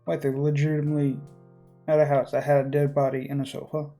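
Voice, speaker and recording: Gamer guy reading story text out loud, creaky dark voice, faint music behind. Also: on the high side, level tone, fast. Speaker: gaming YouTuber. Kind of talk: reading game text aloud with casual commentary.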